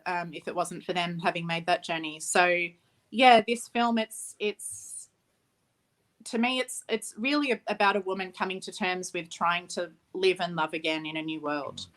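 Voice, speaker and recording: A young woman from Australia, a film director talking about her work as an interview guest, reflective and laughing easily. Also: fairly low pitch. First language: Ukrainian. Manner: warm, reflective, laughs easily, restarts phrases.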